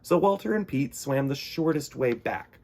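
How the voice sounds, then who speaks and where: A man's voice: mid-range voice, lively intonation, normal speed, a little mellow, a little hiss or hum. A man reading a picture book to children as a storytime host, with theatrical, dramatic emphasis.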